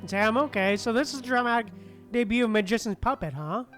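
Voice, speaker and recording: A woman's voice: fairly high pitch, fairly quick, fairly bright tone. Casual woman streamer over game music. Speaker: streamer playing and reading a game. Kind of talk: casual gameplay commentary, reading dialogue aloud. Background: game background music.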